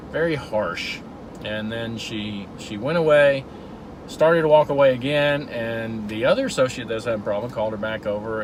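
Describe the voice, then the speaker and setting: A man's voice: mid-range voice, noisy background. Man talking while driving. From Georgia, USA. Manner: earnest, storytelling, with repeated restarts. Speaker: vlog host. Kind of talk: casual talk while driving. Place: in a car.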